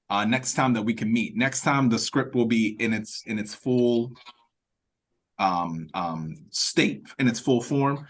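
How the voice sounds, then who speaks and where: A man's voice: mid-range voice, fairly bright tone. Informal man thinking aloud on a call. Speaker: writer-director leading the meeting. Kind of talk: casual meeting chat. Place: online video meeting. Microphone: video call.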